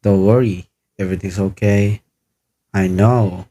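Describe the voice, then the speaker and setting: An unbothered contract killer acted by a man in a radio drama. The voice is fairly low, businesslike and matter-of-fact, offering reassurance as though the job were ordinary. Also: steady tone, a little slow. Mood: cold, matter-of-fact. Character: businesslike, unbothered hired killer named George. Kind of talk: radio play acting.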